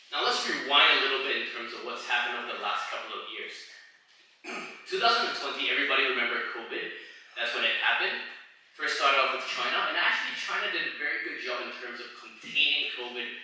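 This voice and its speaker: A man in his thirties explains in a measured, steady way, his voice bright and sharp. He sounds a little far off the mic, and a large hall rings around every word. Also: mid-range voice. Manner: explanatory, measured with occasional fillers. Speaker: investment specialist, panelist. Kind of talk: panel discussion. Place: event hall. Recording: echoey hall, distant mic.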